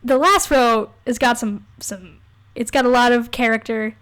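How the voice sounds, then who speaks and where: A young woman with a voice on the high side co-hosts a casual podcast. She banters playfully, with a wry, giggly way of talking. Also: normal speed, fairly bright tone, smooth. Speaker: podcast co-host. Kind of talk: casual banter, reading quizzes aloud.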